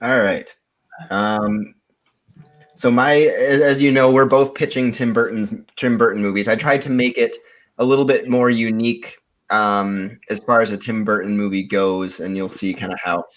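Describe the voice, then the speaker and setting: A man with a fairly low voice talks casually as a podcast contestant. He lays out his pitch in an informal, conversational way. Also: fairly even intonation, moderate pace.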